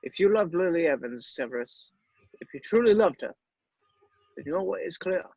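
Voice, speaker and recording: A man playing a grave, shrewd old wizard headmaster in a screenplay table read, speaking in a measured, deliberate way. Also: high-pitched, lively intonation, vocal fry, a little mellow, husky, no room sound, highs cut off, exaggerated voice. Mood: solemn.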